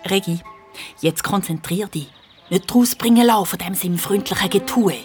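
A woman's voice: mid-range voice, normal speed, a little mellow, faint music behind, close to an everyday voice. A woman with a Swiss German accent narrating a children's radio play in a vivid, dramatic storytelling manner. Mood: excited.

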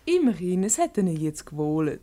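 Teasing, lively Swiss German wife. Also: female voice, on the low side, moderate pace. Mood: amused, breezy. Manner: teasing, ironic. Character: amused, quick-witted wife, unruffled by her flirting husband. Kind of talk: Swiss radio comedy play acting.

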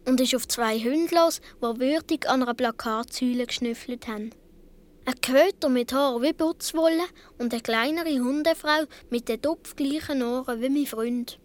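A woman's voice: sits high, moderate pace, close to an everyday voice. A woman playing a seven or eight year old Swiss boy, telling his story earnestly with a Swiss German accent in a radio play. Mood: wistful, recounting.

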